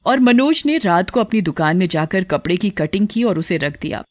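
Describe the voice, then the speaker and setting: A woman reading a scripted cartoon story as narrator, in a plain, measured storytelling manner. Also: mid-range voice, expressive, dry recording.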